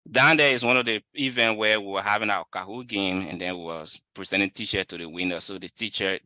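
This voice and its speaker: A man's voice: on the low side, bright, forceful tone, dry recording, low-quality recording. A man from Liberia with a Liberian accent, giving an informal webinar lecture in an explanatory, emphatic way. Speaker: webinar speaker, student researcher.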